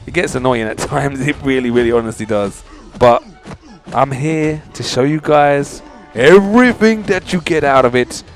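A man hosting a gaming channel, chatting casually and in exasperation to his viewers as he comments on his play. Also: medium pitch. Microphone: voice-over over mobile game footage.

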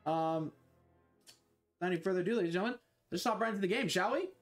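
A man's voice: high voice, racing, soft background music. A young man streaming a video game from his bedroom on a headset mic, rambling, scattered and swearing a lot. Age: young adult. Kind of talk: casual gameplay commentary.

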